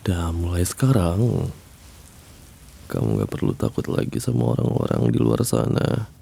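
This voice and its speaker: A creepy, obsessive young man, played by a man, murmurs in a hushed, cooing way and lets out low chuckles. His very deep voice is gravelly and husky, and he drawls everything slowly. It sounds like an intimate ASMR roleplay. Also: sing-song, slight background noise, exaggerated voice. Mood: soothing menace. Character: obsessive young man secretly haunting your bedroom.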